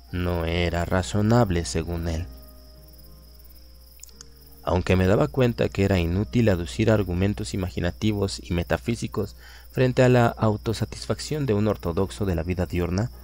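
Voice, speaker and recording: Male narrator, Latin American accent, very low voice, slow and grave audiobook reading. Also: a little mellow. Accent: Latin American Spanish. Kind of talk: scripted literary narration.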